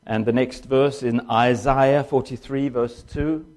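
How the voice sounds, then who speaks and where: An older man with a Danish accent, a guest speaker telling his testimony in a conversational sermon and slowing down to read scripture. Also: mid-range voice, fairly even intonation, darkish tone. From born in China to Danish parents, raised in Tanzania.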